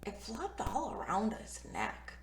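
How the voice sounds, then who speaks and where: Lady reading a chapter book to kids, slow pace, swoopy childlike storytelling. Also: fairly high pitch, slight background noise. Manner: animated, childlike delivery. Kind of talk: expressive read-aloud storytelling for children.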